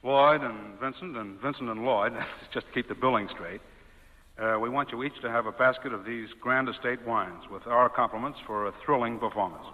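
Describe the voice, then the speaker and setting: A man's voice: mid-range voice, normal speed. A man hosting scripted 1940s radio banter as the show's producer, on a tinny, echoey vintage broadcast. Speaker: producer-director hosting curtain call.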